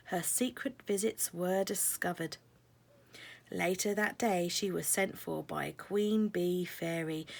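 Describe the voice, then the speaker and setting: A woman's voice: fairly low pitch, gravelly, breathy edge. A woman narrating a children's picture book aloud as a scripted story.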